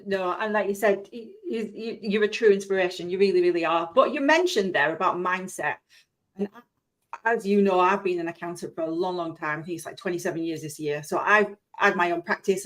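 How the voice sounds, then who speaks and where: A woman's voice: mid-range voice, fairly quick. A middle-aged woman from Yorkshire with a Yorkshire accent, chatty and enthusiastic as she hosts a live-stream interview. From Yorkshire, England. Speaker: host.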